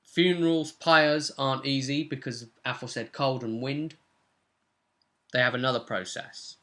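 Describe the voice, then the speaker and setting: A man's voice: medium pitch, fairly even intonation, normal speed, pushed and bright. A young man, the host and game master, casually recapping a tabletop role-playing session from a room at home. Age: young adult. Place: small room at home, indoors.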